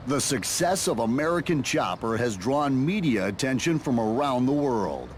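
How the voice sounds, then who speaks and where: An actor plays a male narrator telling a motorcycle game's story. His pitch moves in lively swings at a middling height, with faint noise behind him. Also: moderate pace, natural voice. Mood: storytelling. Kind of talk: scripted narration for a game cutscene.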